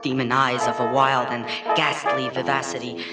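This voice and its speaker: A man playing a tormented condemned prisoner in mortal terror, reading a gothic horror story in a theatrical, halting, anguished way. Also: medium pitch, little pitch movement, lightly acted. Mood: hushed dread. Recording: echoey, distant, loud music behind.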